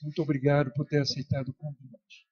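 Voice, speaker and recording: A man with a Brazilian accent moderating a session, haltingly reading out a formal introduction. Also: fairly high pitch, completely flat delivery, slightly rough, dull audio.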